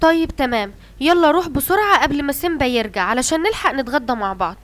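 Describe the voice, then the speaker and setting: A woman voicing a bossy, excitable mother lioness in a cartoon, with an Egyptian Arabic accent. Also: sits high, fast. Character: fussy mother lioness, the king's wife.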